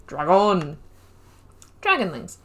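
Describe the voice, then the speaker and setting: A woman with a slightly low-pitched voice talks through a video game playthrough in a relaxed, chatty way. She thinks out loud with enthusiasm and reads lore entries aloud along the way. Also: slow pace, slight background noise. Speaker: gaming channel host. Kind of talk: casual game playthrough commentary.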